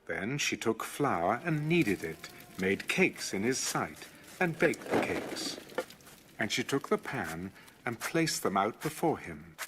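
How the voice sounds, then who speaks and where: A man's voice: lowish voice, sing-song, slow pace, background noise. A man giving a dramatic scripted narration of scripture for an audio Bible.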